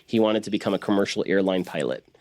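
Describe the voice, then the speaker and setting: A man talking casually in an interview as a voice actor, halting and repeating words as he explains. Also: deep voice, darkish tone, no echo.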